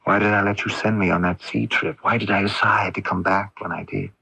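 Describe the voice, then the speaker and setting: A man's voice: lowish voice, flat delivery, fairly quick, gravelly, pushed and bright, husky, no echo, heavily acted. An actor playing a troubled, dreamy young man in a radio drama, hesitant and bewildered. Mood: dreamy, pensive. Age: young adult. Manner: hesitant, wondering. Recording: muffled, dry AM radio tape.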